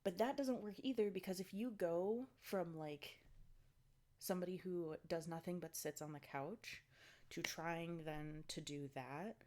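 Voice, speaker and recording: A woman's voice: on the low side, measured pace, dark tone, a little hiss or hum. A woman talking casually in a video diary, a bit out of breath and congested, rambling with lots of ums and likes. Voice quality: congested, stuffy nose. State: slightly out of breath. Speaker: fitness vlogger.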